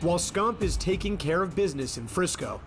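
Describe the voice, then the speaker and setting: A man's voice: sits high, expressive, a little fast. A man reading scripted narration for a documentary. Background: music.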